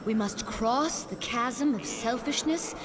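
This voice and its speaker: A mystical female guide from a cartoon, speaks in a high, leisurely voice. She is lofty and breezy, with clear noise behind her. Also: slow pace. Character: mystical inner-being guide, female, airy and frank. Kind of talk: animated comedy acting.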